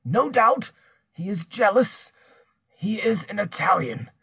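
Man playing a menacing, vengeful uncle, pitched up. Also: big pitch swings, slow, vocal fry, a bit bright, breathy edge, no room sound, clean studio sound, exaggerated voice. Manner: vehement, threatening, accusatory. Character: menacing vengeful older uncle, a blackmailing villain.

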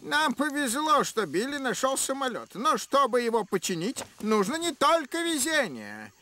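Excitable high-voiced old professor. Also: male voice, normal speed, heavily acted. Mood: thoughtful. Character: excitable old male professor, eager and curious. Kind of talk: animated adventure series dubbing.

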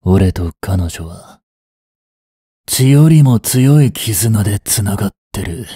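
Guy acting as a cocky gruff mafia boss in an audio drama, really dark mellow voice, slow drawl, secretly tender. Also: fairly low pitch, expressive, dry recording, clean studio sound, full character voice. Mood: menacing. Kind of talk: intimate Japanese-style dramatic voice acting aimed at women listeners. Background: music.

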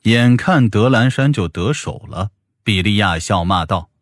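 A man's voice: on the low side, animated, a little slow, no room sound, clean studio sound. A man narrating a scripted audiobook story. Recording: music behind the voice.

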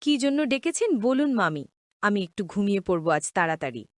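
A woman's voice: mid-range voice, sing-song, a little fast, no echo, clean studio sound, lightly acted. A woman playing an outspoken, hard-working young housemaid in a cartoon drama, complaining in an emphatic, insistent way. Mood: tired, fed up.

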